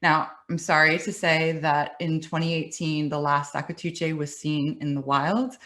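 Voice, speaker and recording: A middle-aged woman with a low voice tells her story in a webinar. She speaks conversationally, with restarts and light laughs, over a computer mic. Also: fairly even intonation, a little slow, fairly bright tone. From United States. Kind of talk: presentation talk.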